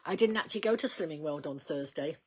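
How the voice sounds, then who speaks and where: An older woman hosting a casual livestream, rambling in a chatty way with many ums and small laughs, her voice going slightly croaky. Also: low voice, expressive, fast talker, a little hiss or hum. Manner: chatty, rambling, reads out viewer comments, frequent ums and laughs. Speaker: livestream host.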